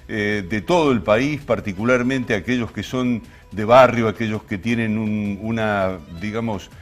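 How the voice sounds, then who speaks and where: A man with an Argentine accent hosting a talk show, speaking in an expansive, explanatory way and often rephrasing as he introduces topics. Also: mid-range voice. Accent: Argentine Spanish. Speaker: television show host. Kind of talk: conversational programme introduction to camera.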